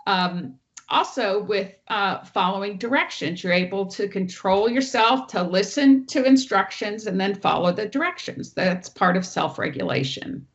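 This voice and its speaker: A woman presenter with a loud teacher voice, giving an online training talk and walking through each slide. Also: medium pitch, moderate pace, lo-fi sound. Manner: explains clearly, walks through each slide. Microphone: online meeting audio.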